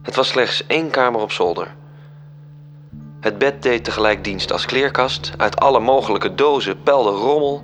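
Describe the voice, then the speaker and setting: A man's voice: medium pitch, moderate pace, a bit bright, close to an everyday voice. A man playing a composed, solemn storyteller in a literary radio drama, narrating. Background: music.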